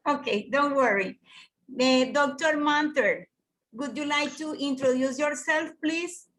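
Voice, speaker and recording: A woman hosts an online class on a video call. Her voice sits high and she talks slowly, halting and restarting as she searches for words in English. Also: a bit bright. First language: Spanish. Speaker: teacher hosting an online class exchange.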